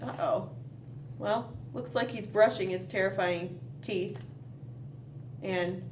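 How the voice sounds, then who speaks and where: A woman reads a picture book to young children in an unhurried, expressive way. She leaves dramatic pauses and adds questioning asides as a storytime host. She is some distance from the mic in a roomy space, with faint noise behind her. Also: medium pitch.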